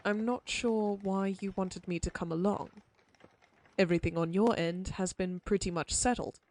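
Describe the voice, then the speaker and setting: A woman's voice: fairly low pitch, a little mellow, slight background noise, close to an everyday voice. A woman voicing a prim, sympathetic frontier lawyer in an audio roleplay, speaking formally with old-fashioned phrasing.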